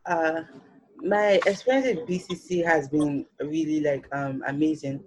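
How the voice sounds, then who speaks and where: A young woman with a Nigerian accent, a student guest speaking casually and haltingly in a podcast interview. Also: deep voice, fairly even intonation, darkish tone, a little hiss or hum, low-quality recording. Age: young adult. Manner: hesitant, filler-heavy with frequent "like".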